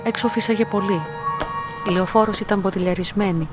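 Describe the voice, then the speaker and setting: An actress voices a young, solitary woman in a literary radio drama. She speaks at a middle pitch with measured, inward-looking delivery and quiet curiosity. Music plays clearly underneath. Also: fairly even intonation, natural voice. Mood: reflective. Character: young lonely woman, quietly curious laboratory employee narrating her story. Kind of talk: literary radio play narration and dialogue.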